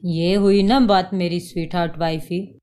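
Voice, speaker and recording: A woman doing a doting older husband from a cartoon story who teases and coaxes his wife. He speaks slowly and unhurriedly, in a slightly lowered, gentle register. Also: steady tone, natural voice. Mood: coaxing. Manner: coaxing, teasing. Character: older romantic husband, playful.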